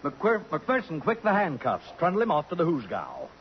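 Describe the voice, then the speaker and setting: An actor, a man, playing an arch, witty, affected society gentleman in a theatrical 1950s radio drama style. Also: fairly high pitch, huge pitch swings, normal speed. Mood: sardonic, playful. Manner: affected, pompous, sardonic. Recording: dull, hissy old radio recording.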